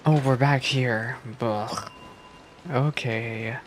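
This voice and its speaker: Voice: mid-range voice, fairly even intonation, very slow, a little hiss or hum. A streamer giving casual, playful commentary on a game, wryly echoing its lines. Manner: playful, wry, echoes the game's lines.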